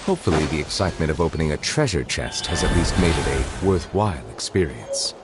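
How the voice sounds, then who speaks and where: A man voicing a calm, grave character who muses aloud in a video game. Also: rumbling low, a little fast, heavy background noise. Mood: calm, musing.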